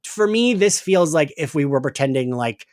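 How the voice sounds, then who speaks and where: A man's voice: fairly high pitch, lively intonation, moderate pace, no room sound, clean studio sound. A man co-hosting a podcast, chatting casually and emphatically as a fan, often slipping into mock dialogue. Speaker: podcast co-host.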